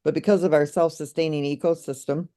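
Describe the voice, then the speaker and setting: A woman's voice: deep voice, fairly even intonation, a little fast, dark tone. A woman co-hosting a video call, casually walking through figures on her shared screen with fillers and short laughs. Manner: searching aloud, with fillers and small laughs. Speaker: co-host presenting project numbers.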